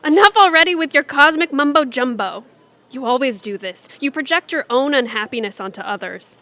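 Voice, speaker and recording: A woman's voice: high-pitched, lively intonation, a little fast, sharp tone, exaggerated voice. A woman playing a sensible, exasperated grown-up daughter in an audio comedy. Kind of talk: audio theatre comedy acting.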